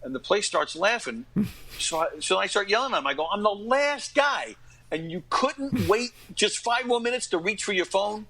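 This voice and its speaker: A middle-aged man telling casual anecdotes as an interview guest, acting out what people said and often clearing his throat. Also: high voice, moderate pace, rough recording. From New York area, USA. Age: late 40s to 50s. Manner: animated retelling with acted-out quotes and frequent throat-clearing. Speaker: podcast guest, actor and stand-up comic.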